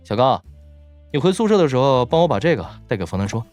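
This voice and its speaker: A man's voice: medium pitch, sing-song, quick pace, a little mellow, soft background music, lightly acted. A man playing a brash, smug young student entrepreneur with a brusque way of talking, in a Chinese audio drama. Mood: casual, wry.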